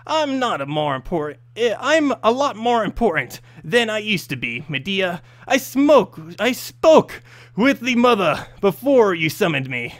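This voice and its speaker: A man's voice: high-pitched, very animated, measured pace, voice pushed up. A man voicing a small, nervous, greedy demon from a fantasy story, shifty and self-important. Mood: eager, sly. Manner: shifty, wheedling, boastful.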